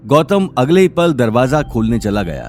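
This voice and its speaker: A man's voice: medium pitch, big pitch swings, moderate pace, darkish tone, soft background music. A man narrating an audio drama series as a scripted, dramatic story.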